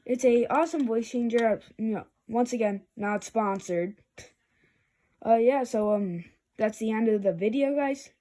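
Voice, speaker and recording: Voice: mid-range voice, unhurried, dark tone. A casual amateur reviewer talking informally and a little hesitantly in a rambling product review vlog. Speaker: video reviewer.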